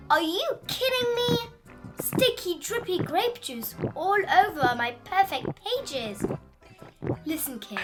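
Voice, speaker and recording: A woman puts on a tidy, easily panicked little frog from a children's storybook. The voice is very high and bright, theatrical and scolding, taking its time over each exclamation. Faint music plays underneath. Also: exaggerated voice. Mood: dismayed. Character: fussy, dramatic, tidy male cartoon frog, easily panicked. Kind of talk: animated children's storybook read-aloud.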